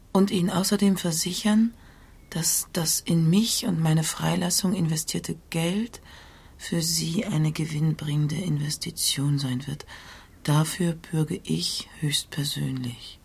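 An actress playing a detached, dreamy wife and mother in a radio drama, murmuring in breathy half-whispers. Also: deep voice, fairly even intonation, slow pace, a little mellow, full character voice. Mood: absent, murmuring. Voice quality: breathy, often whispering, creaky. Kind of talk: intimate radio drama acting.

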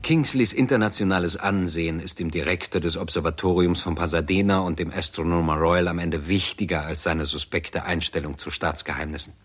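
An actor narrating a 1960s German radio play in a sober, formal, report-like manner. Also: male voice, low-pitched, fairly quick, few pauses. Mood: sober, factual.

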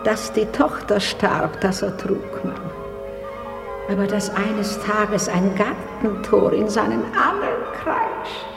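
A woman's voice: medium pitch, takes it slowly, vocal fry. An actress playing a grieving, sorrowful mother in a radio play, in an echoing hall with music. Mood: bitter grief.

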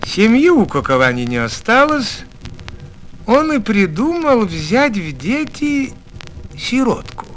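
High-voiced man narrating, hugely expressive. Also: takes it slowly, slight background noise. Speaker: storyteller. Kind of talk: expressive fairy tale narration.

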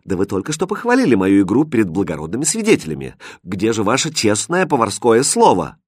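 A man's voice: fairly low pitch, huge pitch swings, a little fast, breathy edge, no echo, studio quality, full character voice. Man playing proud, insistent young musician. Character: earnest young male musician, proud, insistent, quick-tempered.

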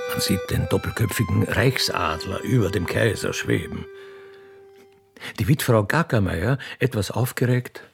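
Low-voiced storyteller over music. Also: male voice, big pitch swings, unhurried, creaky. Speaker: narrator of a radio play. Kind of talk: scripted dramatic narration.

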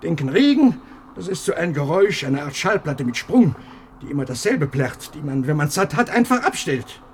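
An actor playing an older husband in a German radio play, fretful and proud, lamenting and rambling in an agitated way. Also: male voice, on the high side, big pitch swings, moderate pace, slight background noise. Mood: bitter, scornful. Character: fretful, proud old husband guarding his life's collection.

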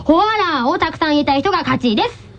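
Female radio show presenter, very high voice, polite announcer manner, lo-fi sound. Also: lively intonation, quick pace, fairly bright tone. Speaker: host of a radio show segment. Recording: dull lo-fi audio, faint music behind.

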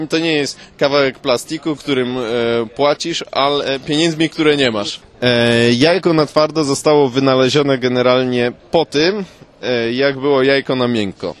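A man improvising comic stories as a mock expert guest, rambling in casual, slangy language full of swearing. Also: medium pitch. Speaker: comic guest posing as a food history expert.

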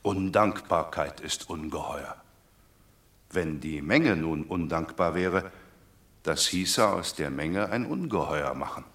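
An actor playing a shrewd Roman commoner, reasoning wryly in a classic radio drama. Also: male voice, low-pitched, animated, slow pace, a bit bright, exaggerated voice.

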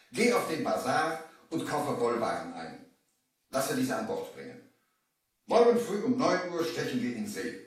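An actor playing a young merchant who recounts his sea adventure with measured drama in a children's radio play. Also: mid-range voice, distant mic. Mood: earnest. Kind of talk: 1970s German children's radio play narration. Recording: roomy, echoing old vinyl radio play.

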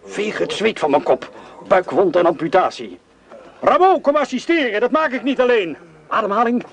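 An actor playing a brusque, commanding army surgeon who barks curt orders in a Dutch wartime radio play. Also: male voice, high voice, lively intonation, moderate pace, vocal fry. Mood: stern, pressured.

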